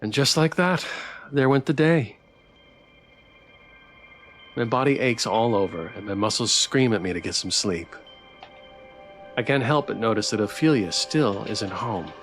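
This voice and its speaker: A man's voice: lowish voice, lively intonation, measured pace, long pauses, a bit bright. Man reading a game aloud, music behind. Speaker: streamer playing a visual novel. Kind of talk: reading game text aloud with playful live commentary.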